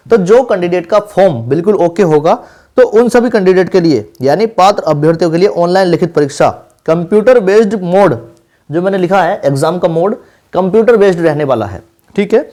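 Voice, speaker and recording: Male coaching-channel teacher, slightly high voice, instructive informal explainer delivery with repeated key points. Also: a little mellow. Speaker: exam-preparation teacher and channel host. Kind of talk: informal explainer lesson for exam candidates.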